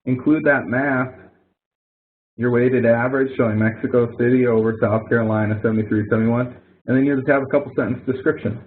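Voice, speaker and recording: Man, instructor, mid-pitched voice, casual screen-share walkthrough, muffled telephone-band audio. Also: fairly even intonation, brisk. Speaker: instructor leading an online help session. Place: online web conference. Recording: muffled narrow phone-like audio.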